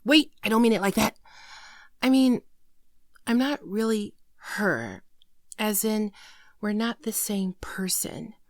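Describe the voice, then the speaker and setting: A woman playing a frightened, apologetic shapeshifting alien posing as a human girlfriend in an intimate ASMR roleplay, halting and tearfully pleading, often dropping to a whisper. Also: mid-range voice, lively intonation, dry recording, studio quality. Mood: nervous, confessing.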